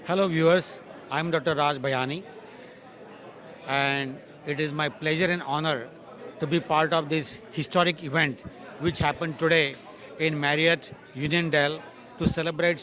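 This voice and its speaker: Male event guest, Indian accent, fairly high voice, formal celebratory testimonial to camera. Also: a bit bright.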